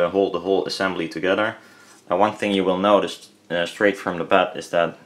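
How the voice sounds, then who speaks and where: Low-pitched Dutch-accented man, rambling. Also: fairly bright tone. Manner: hesitant, rambling, self-correcting. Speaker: hobbyist presenter. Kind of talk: casual hands-on product teardown commentary.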